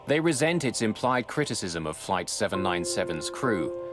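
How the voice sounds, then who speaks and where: A man giving scripted, dramatic documentary narration in a measured, grave way, close on a studio mic. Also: lowish voice, sing-song, a bit bright. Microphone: close studio voice-over mic. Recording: music bed under narration.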